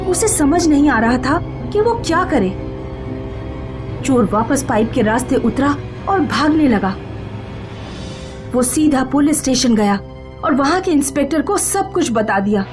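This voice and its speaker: A woman narrating a scripted horror story in a dramatic style. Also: sits high. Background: background music.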